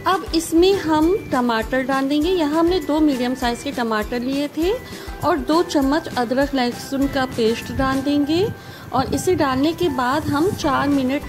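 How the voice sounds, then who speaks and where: Woman with a high voice talking thru a recipe, friendly and instructive, music playing under her. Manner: friendly instructive, repeats emphatic praise. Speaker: cooking channel host. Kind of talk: step-by-step recipe voice-over. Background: background music.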